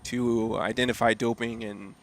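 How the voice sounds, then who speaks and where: A man calmly commentating on a live freediving competition, admiring and easygoing, with frequent filler pauses. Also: medium pitch, slight background noise. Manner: relaxed, admiring, many fillers. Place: outdoors at a seaside dive event.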